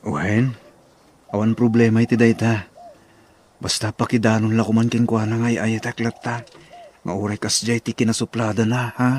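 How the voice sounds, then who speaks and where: A man's voice: fairly low pitch, little pitch movement, normal speed, long pauses, a little mellow, natural voice. Lovestruck Ilocano suitor, a man acting, noisy backdrop. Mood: patient, hopeful. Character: lovestruck, good-natured male suitor. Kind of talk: Ilocano radio drama acting.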